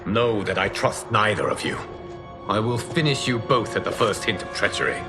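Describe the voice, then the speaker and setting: Stern realm protector for a video game male actor: grave, measured, fairly deep, formal and heroic. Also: lightly acted. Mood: warning. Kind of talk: dramatic video game acting. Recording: loud cinematic music behind voice.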